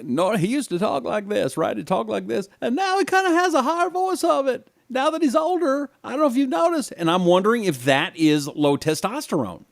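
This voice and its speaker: A man's voice: extremely high pitch, sing-song, a little fast, gravelly, few pauses, no room sound, studio quality. A man co-hosting a talk show, chatting casually and jokingly, with hesitant restarts. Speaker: co-host. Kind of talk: casual chat.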